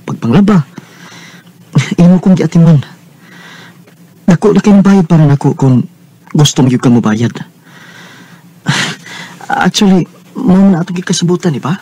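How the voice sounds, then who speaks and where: A lovesick young working man in a Bisaya radio drama. His voice sits a little high, with a Visayan Filipino accent. He speaks earnestly and haltingly, pining for the woman he lives with. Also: mellow, husky. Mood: fond, teasing.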